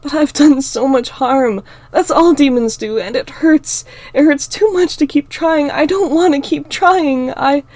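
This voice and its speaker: A woman's voice: high-pitched, a little slow, hardly any pauses, darkish tone, no room sound, voice pushed up. A woman voicing a scared young demon girl who pleads through tears, her voice breaking. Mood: sobbing. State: crying.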